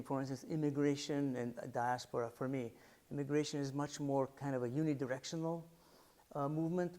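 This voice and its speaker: A man's voice: mid-range voice, a little mellow. A middle-aged man giving a talk about his photographs, thoughtful and searching for words, with frequent restarts and fillers. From United States. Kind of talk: artist talk presenting photographs. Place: library art center talk.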